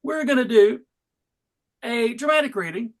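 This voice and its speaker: A man's voice: very high voice, lively intonation, moderate pace. A man hosting and narrating a dramatic comic-book table read with wry, tongue-in-cheek asides. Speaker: host and narrator.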